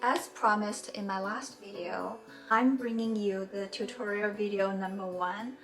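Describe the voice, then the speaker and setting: A woman in her forties, born in China and a native Mandarin speaker, hosting a knitting tutorial in a gentle, earnest and encouraging way. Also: medium pitch, steady tone, measured pace, soft background music, poor audio. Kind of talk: friendly instructional talk.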